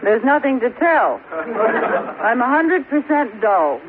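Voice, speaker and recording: An actress playing a self-pitying, stubbornly sulking housewife in an old radio sitcom, mournful and deadpan. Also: female voice, a little high, takes it slowly, a loud crowd. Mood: glum, deadpan. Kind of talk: 1940s-50s radio sitcom acting. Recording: very noisy, tinny old radio recording.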